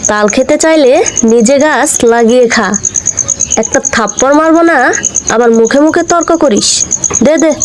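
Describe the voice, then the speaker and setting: A woman's voice: sits high, moderate pace, pauses often, slight background noise, close to an everyday voice. A woman voicing a greedy, haughty village headman's wife who scolds and makes demands in a Bengali cartoon. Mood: scolding, demanding. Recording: music behind the voice.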